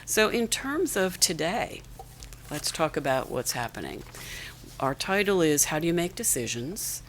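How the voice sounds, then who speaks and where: A woman host with a very deep voice introduces a guest in a measured, prepared way. Faint noise hangs behind her in the meeting room. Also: big pitch swings. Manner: measured, reads out a biography. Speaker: event host. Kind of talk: introducing a speaker. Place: conference room.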